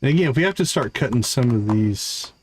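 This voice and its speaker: A man's voice: mid-range voice, animated, fairly quick, a little hiss or hum. A man giving casual live gameplay commentary, easygoing and explanatory as he chats with his viewers. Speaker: live game streamer.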